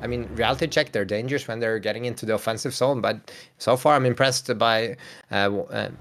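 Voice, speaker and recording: A man's voice: mid-range voice, steady tone, fairly quick, fairly bright tone, slight background noise. A man from Sweden, commentating an online hockey match in English and chatting with viewers. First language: Swedish. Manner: chatty, laughs often, reads out chat. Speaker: esports commentator. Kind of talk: play-by-play commentary.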